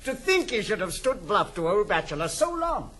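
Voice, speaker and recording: Hearty, high-voiced old British gentleman. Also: very animated, moderate pace, slightly rough, heavily acted. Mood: amused. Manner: hearty, chuckling, exclamatory. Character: bluff old uncle back from the East Indies, jovial, blunt. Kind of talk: period stage comedy acting. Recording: noisy 1960s studio album.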